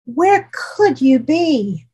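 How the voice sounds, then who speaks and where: A woman's voice: high voice, very animated, mellow, pitched above the performer's own voice, full character voice. A woman voicing a frightened little girl in a storybook, calling out anxious questions to a monster. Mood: searching, anxious. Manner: calling out in drawn-out, repeated questions.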